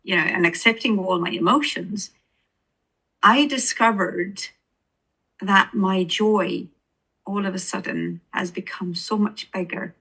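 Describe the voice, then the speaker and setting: A woman's voice: medium pitch, a little slow, pushed and bright, slightly rough, low-quality recording. A woman with an Estonian accent, an author speaking as an interview guest, earnest and searching for words with many restarts. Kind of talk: reflective interview conversation.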